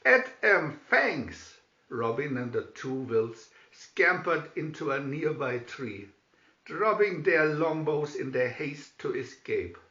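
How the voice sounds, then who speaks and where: A man's voice: mid-range voice, lively intonation, takes it slowly, a bit bright. A man reading a storybook aloud as dramatic scripted narration. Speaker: storybook reader. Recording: dull, roomy home recording.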